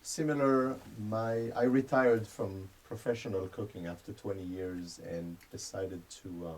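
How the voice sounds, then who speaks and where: A man's voice: fairly low pitch, steady tone, a little slow, darkish tone, slight background noise, low-quality recording. A man in his forties from Israel, a retired chef turned spice merchant, explaining his ideas at length in a radio interview. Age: 40s.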